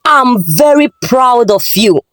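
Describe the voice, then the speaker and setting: Loving, devout Nigerian wife in a folktale woman reader, earnest, slow, with expressive high pitch. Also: dry recording. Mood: proud, thankful. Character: devout, supportive young wife, grateful and loving. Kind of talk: folktale character dialogue.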